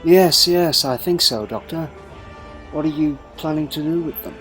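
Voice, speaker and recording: A man puts on a curious subordinate soldier for a sci-fi audio story. He is eager to help but hesitant, his voice lifted and questioning. Music is clearly heard behind him. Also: a little high, moderate pace, pitched above the performer's own voice, natural voice.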